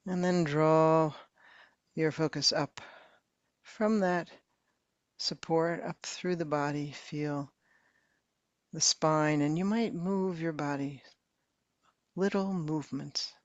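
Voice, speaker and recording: A woman meditation teacher slowly leading a guided meditation in a soft, calm voice with long pauses. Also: low voice, no echo, dull audio.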